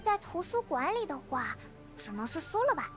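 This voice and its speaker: A woman voicing a tiny, eager, chatty fairy companion in cutesy, expressive anime game style. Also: sits high, moderate pace, soft background music. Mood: eager, curious. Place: studio game dialogue with music behind.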